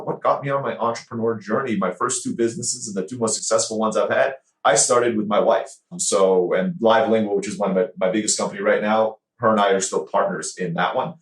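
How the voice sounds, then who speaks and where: A man born in the Philippines and raised in Turkey, a guest on a podcast, telling his story in casual, animated interview talk. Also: lowish voice. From born in the Philippines, grew up in Turkey. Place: home office in Mexico. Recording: echoey room.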